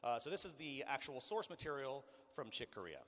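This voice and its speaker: A man's voice: medium pitch, lively intonation, brisk, fairly bright tone. A man giving an informal clinic presentation as a sound designer, explaining and demonstrating to listeners. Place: large hall, live clinic performance. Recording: long hall reverb.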